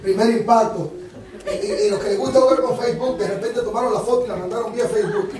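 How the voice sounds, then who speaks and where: A man preaching a lively sermon with a Dominican accent, animated and joking with the congregation. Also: very high voice, quick pace, crowd noise. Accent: Dominican Spanish. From Dominican Republic. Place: church hall. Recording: echoey hall from far off, congregation.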